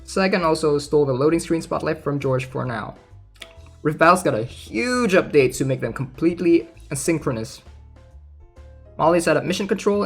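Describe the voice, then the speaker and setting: A man's voice: fairly high pitch, fairly bright tone, breathy edge. A man casually reading aloud and commenting in an informal, chatty way, like a gaming content creator. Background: background music.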